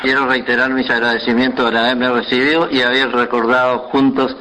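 Bright-voiced Chilean male radio interviewer. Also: medium pitch, flat delivery, fairly quick. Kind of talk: polite, deferential interview. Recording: noisy radio interview recording.

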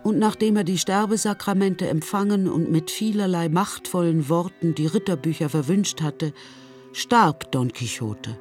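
A woman's voice: lowish voice, moderate pace, a little mellow, soft background music, close to an everyday voice. Woman as a grave, bookish storyteller. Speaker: narrator in a radio play. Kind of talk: literary scripted narration.

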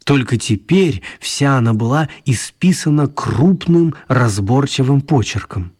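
A man narrating a children's radio play as scripted, expressive storytelling. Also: mid-range voice, measured pace, husky.

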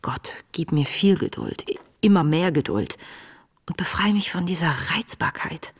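An actress playing an earnest, searching woman in her late twenties, reading her wartime diary in a breathy, introspective, measured way for a radio play. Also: fairly low pitch, lively intonation. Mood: pleading, hushed. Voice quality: breathy, often dropping toward a whisper. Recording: music under the voice.

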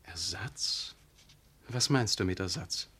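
Fervent, volatile young curate. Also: male voice, low voice, lively intonation, slow, sharp tone, slightly rough, heavily acted. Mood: indignant, pious. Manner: impassioned, declamatory, volatile. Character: fervent, self-righteous young male curate, easily wounded. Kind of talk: German radio drama acting.

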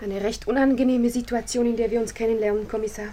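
Woman acting young politician's daughter, highish voice, cool, critical, impatient, slightly noisy vintage recording. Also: fairly even intonation, fast talker, mellow, natural voice. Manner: curt, critical. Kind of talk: 1950s German radio drama acting.

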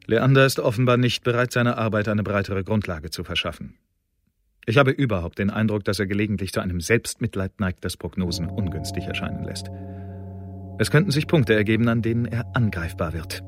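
An actor playing a sober courier who gives a detached, formal intelligence report in a radio drama. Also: male voice, lowish voice, brisk, lightly acted. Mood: sober, critical. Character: sober male courier reporting critically on an agent.

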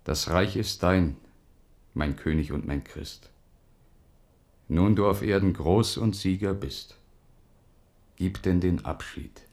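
Radio drama officer: grave loyal poet, low-pitched, formal and deferential to his sovereign. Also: slow, vocal fry, pauses often, a little mellow, heavily acted. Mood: grave, resigned. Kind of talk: German radio drama acting.

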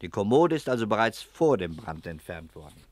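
A shrewd private detective in a sixties radio crime play. His voice is low and closely, dryly recorded. He questions people politely and deliberately, with an ironic edge. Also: sing-song, a little mellow, no room sound. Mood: calmly accusing. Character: shrewd, unhurried English private detective, male. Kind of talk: 1960s German radio crime drama acting.

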